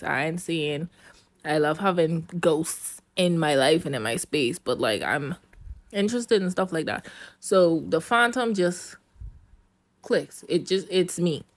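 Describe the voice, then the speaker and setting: A woman's voice: sits low, no room sound. A young woman hosting her own podcast alone, chatting casually and laughing as she talks straight to her listeners. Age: young adult. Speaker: podcast host. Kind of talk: casual solo podcast chat. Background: rain.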